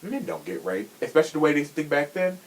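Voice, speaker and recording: A man's voice: fairly high pitch, big pitch swings, fast. A young man hosting a podcast in a casual, opinionated chat, talking in a sassy, slangy and emphatic way. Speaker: podcast host.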